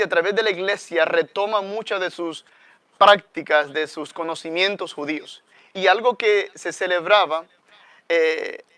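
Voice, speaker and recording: A middle-aged man with a Salvadoran accent, speaking as a guest friar. His voice sits a little high and sounds smooth and clear. He explains things earnestly, with frequent fillers, in a dry, close recording. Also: bright, forceful tone, no room sound. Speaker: guest friar, parish priest. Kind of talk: conversational religious talk.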